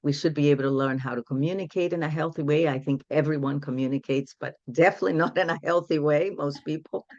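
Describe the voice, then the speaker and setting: A woman's voice: sits low, fairly even intonation, fast, hardly any pauses, a little mellow. An older woman from Brazil speaks English. She talks about her path in an earnest, reflective way. The audio is dull and lo-fi, with a dead, dry sound and no room echo. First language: Portuguese. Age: 60s. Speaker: guest, women's empowerment coach. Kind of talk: casual interview storytelling.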